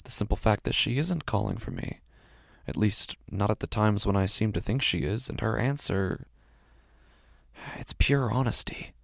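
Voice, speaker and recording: Man playing a creaky-voiced, anxious, obsessive father. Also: lowish voice, animated, no room sound, studio quality. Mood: troubled, strained. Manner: hesitant, halting, intense, sighing. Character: devoted, anxious, obsessive father of a young daughter. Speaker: narrator of a horror monologue. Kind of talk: dramatic first-person horror audio drama monologue.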